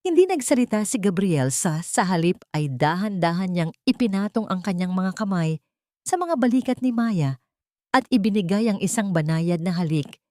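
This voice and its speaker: Low-voiced woman narrating a solemn story. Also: darkish tone, no echo, studio quality. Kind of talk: scripted dramatic audio story narration.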